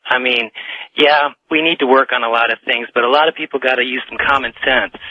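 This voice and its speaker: A man's voice: mid-range voice, fairly even intonation, brisk, pushed and bright. A man calling in to a radio show, chatting informally in a hesitant, rambling way. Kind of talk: casual phone-in chat. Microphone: telephone. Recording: dry, muffled phone call on radio.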